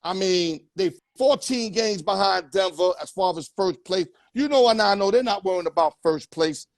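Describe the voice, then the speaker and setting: A man's voice: high voice, moderate pace, no echo, studio quality. A man hosting a casual sports talk show, loose and joking, full of street slang. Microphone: headset or earpiece.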